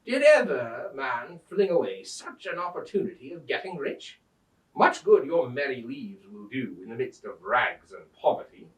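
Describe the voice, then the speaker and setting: A man voicing a scornful, greedy cobbler from a fairy tale with loud, emphatic declaiming. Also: mid-range voice, sing-song, moderate pace, natural voice. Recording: roomy echo, distant microphone.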